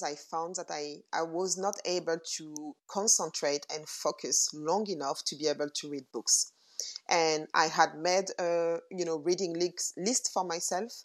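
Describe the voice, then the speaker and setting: Low-voiced French woman in her forties. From France. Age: 40s. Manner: thoughtful, with frequent fillers and restarts. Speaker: guest entrepreneur. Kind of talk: casual interview conversation.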